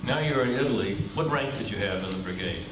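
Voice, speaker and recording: A man's voice: on the low side, animated, fast talker, echoey room, background noise. A man conducting an oral history interview, summarizing and prompting with questions.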